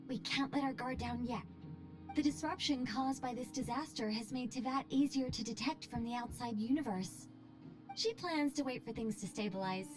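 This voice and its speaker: An actress playing a poised, playful, self-assured angelic mage in a video game, airily polite and gently boastful. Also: female voice, high-pitched. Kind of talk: video game character dialogue. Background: background music.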